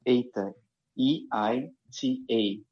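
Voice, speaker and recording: A man's voice: medium pitch, slow, lo-fi sound. A man with a Brazilian accent from Rio de Janeiro, a native Portuguese speaker, speaking as a podcast guest in a polite, careful way.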